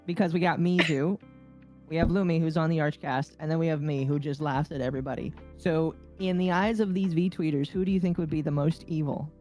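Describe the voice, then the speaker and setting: Woman, low-pitched voice, podcast co-host, casual chat, mock-serious teasing, soft music behind. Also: fairly even intonation, a little fast. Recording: background music under voices. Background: background music.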